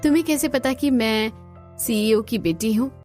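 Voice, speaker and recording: Woman doing a sweet earnest rich girl, kinda high voice. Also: normal speed, a little mellow, faint music behind, close to an everyday voice. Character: kind rich young woman, CEO's daughter.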